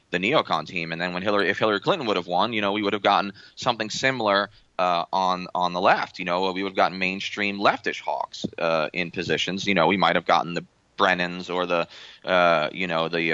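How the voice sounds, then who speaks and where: A man giving an interview as a guest, earnest, with halting restarts. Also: low voice, sharp tone, no room sound, highs cut off. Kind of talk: interview answer.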